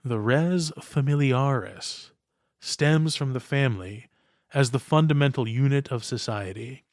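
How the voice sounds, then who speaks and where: A man narrates a scripted essay as a podcast host. His delivery is measured and formal, with a learned turn of phrase. The voice sits at a medium pitch in a dry, close sound. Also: no echo.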